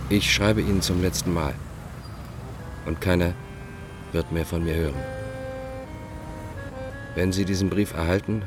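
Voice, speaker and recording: Man playing a low-voiced, proud fugitive clerk. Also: natural voice. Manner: formal, measured, pompous. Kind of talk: German radio play acting.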